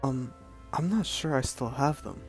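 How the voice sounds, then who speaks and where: Woman playing a very low-voiced police commissioner. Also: lively intonation, unhurried, darkish tone, faint music behind, exaggerated voice. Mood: evasive, shaken. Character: steady, fatherly male police commissioner. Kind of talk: amateur superhero radio play acting.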